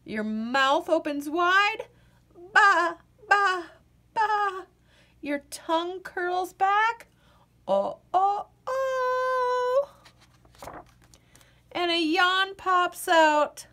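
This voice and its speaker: A woman reading a children's picture book aloud, playful and expressive, acting out the yawns as she goes. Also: extremely high pitch, drawn-out words, pauses often, slight background noise.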